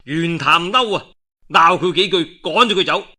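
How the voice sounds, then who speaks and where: A man with a Cantonese accent telling a historical tale in a dramatic, colloquial and expressive oral storytelling style. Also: a little high, normal speed. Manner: colloquial and expressive, with rhetorical asides.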